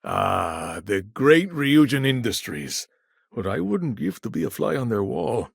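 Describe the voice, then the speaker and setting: Casting: a smooth, wry corporate dealmaker for a video game; gravelly tone, lively intonation, dry close recording. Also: male voice, medium pitch, unhurried, dry recording, heavily acted. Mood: intrigued, measured. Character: smooth, shrewd, courteous male corporate business negotiator. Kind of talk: video game dialogue lines.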